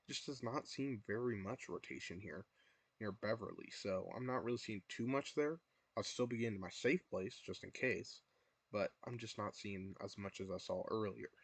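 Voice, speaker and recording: A man's voice: on the low side, husky, no room sound. A young man, hosting a live weather stream from his room, losing his voice and repeatedly urging viewers to take shelter. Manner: repetitive, filler-heavy, urges viewers to take shelter. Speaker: livestream weather host.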